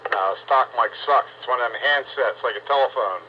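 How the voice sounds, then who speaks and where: A man's voice: medium pitch, brisk. An American man talking casually over a CB radio, contrary and self-deprecating in manner. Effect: two-way radio transmission. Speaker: CB radio operator. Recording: dull CB handset, faint static. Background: faint radio static.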